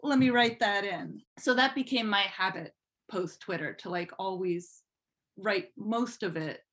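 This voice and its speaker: Female podcast guest, medium pitch. Chatty, casual, self-deprecating interviewee. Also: unhurried, a bit bright. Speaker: podcast guest, fan fiction writer.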